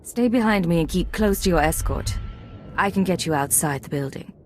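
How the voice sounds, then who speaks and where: Cool, low-voiced spy, played by a woman. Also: lively intonation, normal speed, soft background music, close to an everyday voice. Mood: cool, businesslike. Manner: controlled, clipped, professional. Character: cool, businesslike female Imperial spy agent. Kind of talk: video game voice acting.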